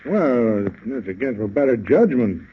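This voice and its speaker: Folksy gruff ship's captain, old radio. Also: male voice, mid-range voice, big pitch swings, a little slow. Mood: plain, reluctant. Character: plain-spoken gruff male ship's captain, loyal. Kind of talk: 1940s radio acting. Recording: muffled noisy 1940 radio recording.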